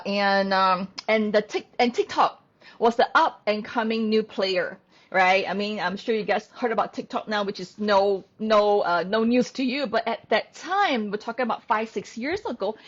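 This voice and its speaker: High-voiced woman chatting on a livestream. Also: highs cut off. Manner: rambling, many fillers and restarts. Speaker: livestream host. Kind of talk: casual live-stream chat.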